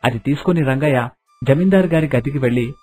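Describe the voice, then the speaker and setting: A man's voice: mid-range voice, fairly quick, a little mellow. A man reading out a scripted story as a storyteller. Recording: narration over background music.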